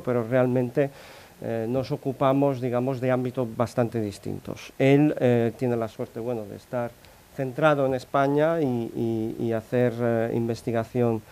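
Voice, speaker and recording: A man's voice: medium pitch, darkish tone. A middle-aged man from Italy who speaks with an Italian accent, giving a conference talk with many hesitations and restarts.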